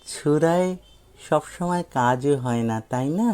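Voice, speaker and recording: A cheeky, precocious young man from an audio story, played by a male storyteller. He teases politely with false naivety, in a raised pitch. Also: measured pace, a little mellow, smooth, close to an everyday voice. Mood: mock polite. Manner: teasing, feigned innocence.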